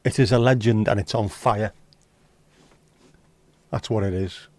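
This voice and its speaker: A man's voice: fairly low pitch, fairly even intonation, unhurried, darkish tone, dry recording. A man hosting a casual livestream, reading out chat messages and teasing viewers with jokey, lightly sweary banter. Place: home livestream setup.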